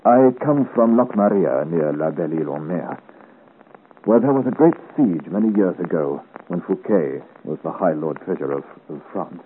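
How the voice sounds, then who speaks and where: A man's voice: sits low, expressive, moderate pace. An actor playing an earnest, gallant young swordsman in a 1950s radio serial, speaking in formal, courtly period diction. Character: earnest, gallant young swordsman of great size, seeking his father's name. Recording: muffled, hissy old radio serial recording.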